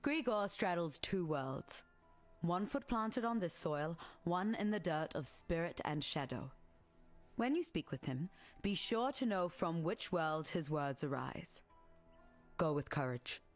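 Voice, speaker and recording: Slow, solemn mystic guide woman. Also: on the low side, expressive, soft background music. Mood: solemn, counselling. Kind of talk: video game character dialogue.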